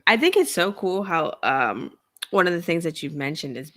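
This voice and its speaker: A woman's voice: fairly low pitch, big pitch swings, normal speed, a bit bright. A young woman chatting casually as a podcast co-host. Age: young adult. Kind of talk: casual chat. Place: plain room at home, video call.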